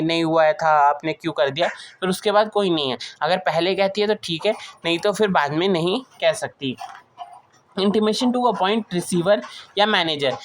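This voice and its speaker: A woman's voice: mid-range voice, slight background noise. Indian woman teaching, explanatory lecture style. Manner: switches between slow English reading and Hindi explanation, repeats key points. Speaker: teacher of company law. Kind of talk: explanatory lecture, reading provisions aloud then explaining.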